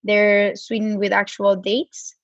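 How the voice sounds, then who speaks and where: A woman's voice: medium pitch, flat delivery, takes it slowly, no echo, muffled recording. A woman with a Mexican accent, a guest on a podcast, talking casually and filling her speech with "like". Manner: chatty, many "like" fillers. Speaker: guest, brand co-founder.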